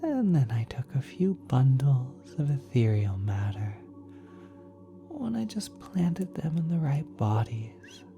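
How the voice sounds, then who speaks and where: A very deep, dark, velvety voice from a woman playing a kind celestial being in an ASMR roleplay. It is soft and half-whispered, cooing tenderly like a doting parent. The delivery is slow and lilting, with an otherworldly patience. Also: sing-song, muffled recording, faint music behind, exaggerated voice. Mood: tender, explaining. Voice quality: soft, breathy, partly whispered.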